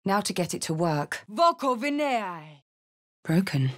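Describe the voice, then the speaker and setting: Fantasy game role: tough female adventurer, soulful but gruff, delivering blunt, clipped remarks and incantations. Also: mid-range voice, big pitch swings, a little slow, fairly bright tone. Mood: commanding incantation. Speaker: video game character actor. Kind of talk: fantasy video game voice lines.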